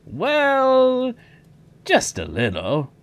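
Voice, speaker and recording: A man playing a solicitous, sheepish older park owner in an audio drama, with a halting, apologetic manner. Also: very high voice, wildly swooping pitch, heavily acted. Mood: defensive, amused.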